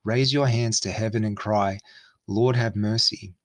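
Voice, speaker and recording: A man's voice: fairly low pitch, fairly even intonation, a little slow, no room sound. A man reading the part of a stern, devout bishop saint in solemn, archaic, exhorting language. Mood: righteous rebuke.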